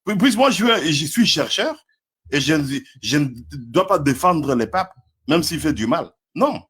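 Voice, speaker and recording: Husky stammering Congolese man. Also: a little high, animated, measured pace, fairly bright tone. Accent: Congolese French. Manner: hesitant, stammering, chuckling. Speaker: debater in a live stream. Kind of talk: live online debate.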